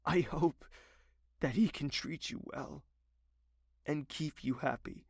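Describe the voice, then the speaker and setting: A tender, remorseful nobleman in an audio drama, his voice gravelly and a little husky. He speaks slowly and earnestly, with a dry, close studio sound. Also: on the high side, no echo, full character voice. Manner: soft, slow and earnest.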